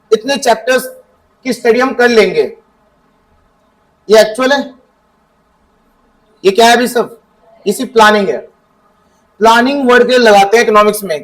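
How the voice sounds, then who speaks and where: Male teacher, high voice, quizzes the class and answers himself. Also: poor audio. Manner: asks students questions and answers them himself; reads definitions from the book slowly. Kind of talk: classroom economics lesson.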